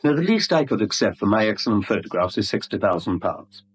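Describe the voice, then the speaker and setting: An actor playing a smug middle-aged blackmailer in a 1970s radio drama, suave, wordy and mockingly courteous. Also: male voice, fairly low pitch, very animated, fast talker. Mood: silkily threatening. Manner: suave, wordy, mock-polite, teasing.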